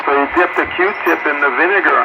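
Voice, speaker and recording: A man in a casual radio chat with a hesitant, halting delivery. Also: a little high. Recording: noisy, muffled radio transmission.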